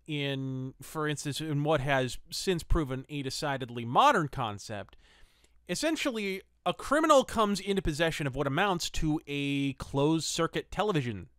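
A man's voice: a little high, animated, normal speed, a bit bright, smooth, no room sound, clean studio sound. A man hosting a review podcast, with theatrical, wordy and wry semi-scripted commentary.

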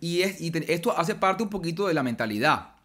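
A man's voice: fairly high pitch, lively intonation, brisk, fairly bright tone. A man, talking casually as a podcast guest at a close microphone in a small room. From Colombia. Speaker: podcast guest, speaker and author. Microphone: podcast microphone on arm, close.

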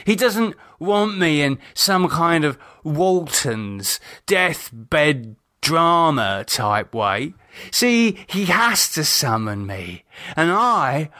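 A man voicing a bitter, sardonic, self-loathing drunk in an audiobook, halting and sneering as he talks. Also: a little high, big pitch swings, bright, forceful tone, no echo, clean studio sound, voice pushed up, heavily acted. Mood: bitter, contemptuous. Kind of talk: audiobook character dialogue.

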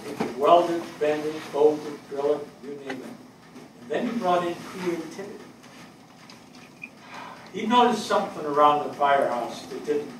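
An older man acting an earnest fire captain in a stage reading, delivering a measured eulogy for a fallen friend. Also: on the high side, natural voice. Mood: fond. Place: indoor theatre stage. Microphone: distant camera. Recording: echoey stage, distant mic, faint noise.

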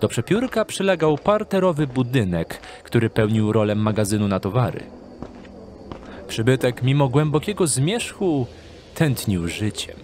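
A man narrating a scripted dark fantasy story as the narrator of an audio drama. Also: mid-range voice, sing-song, moderate pace, slight background noise.

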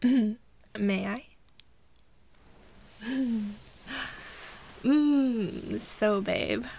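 A woman's voice: a little high, darkish tone, dry recording. A woman playing an affectionate, teasing girlfriend cheering on her man in a playful, encouraging ASMR roleplay. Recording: music behind the voice.

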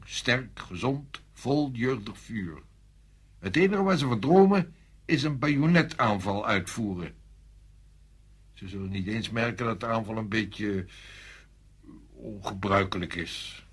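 An actor playing a senior French army general in a radio drama, authoritative, insistent and deliberate in manner. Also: male voice, lowish voice, slightly rough. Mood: persuasive.

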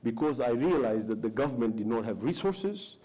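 A man in his forties speaks earnestly on a conference panel. His voice is soft and mellow, and he circles back over his own words as he builds his case. He is recorded on a lapel mic in an indoor hall. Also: mid-range voice, expressive, brisk, slightly rough. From Tanzania. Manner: earnest, repeats words when starting, emphatic. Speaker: panelist, businessman. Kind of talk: panel discussion.

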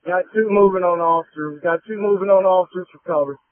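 A man's voice: high voice, a little fast, no room sound. A male police officer giving urgent, terse, repeated commands over a police radio. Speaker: police officer at an emergency scene. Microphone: handheld police radio. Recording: tinny band-limited police radio.

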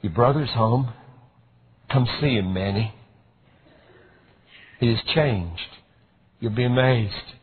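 A man voicing a gentle, forgiving old father who speaks tenderly and earnestly. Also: mid-range voice, slow, long pauses, slight background noise, natural voice. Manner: tender, pleading. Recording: tinny phone-like recording.

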